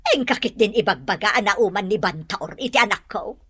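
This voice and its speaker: Actress as bright-voiced protective Ilocano mother. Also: medium pitch, expressive, a little fast, breathy edge, no room sound, clean studio sound. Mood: indignant. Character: protective, indignant village mother defending her grown child. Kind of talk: Ilocano audio drama dialogue.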